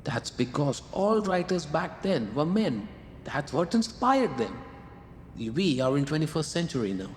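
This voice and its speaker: A man playing a calm, gently teasing male muse in a radio drama. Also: a little high, measured pace. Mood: wry. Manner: wry, calm, coaxing. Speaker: the writer's muse. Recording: long reverb, faint noise.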